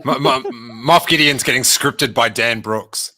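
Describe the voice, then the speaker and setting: A man's voice: mid-range voice, a little slow, bright, forceful tone. A man hosting a casual livestream chat, joking with his guests and often stammering and restarting his sentences. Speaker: livestream host.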